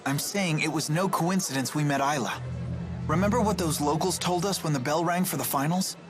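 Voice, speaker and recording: A male actor playing an earnest teenage student in a video game, measured, gentle but firm. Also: a little high, music in the background, lightly acted. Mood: firm, accusing.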